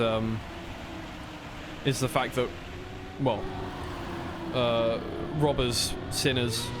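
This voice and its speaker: A man's voice: mid-range voice, background noise. A man commentating live on an esports racing match, casually rambling and correcting himself between bursts of play-by-play. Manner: rambling, self-correcting asides.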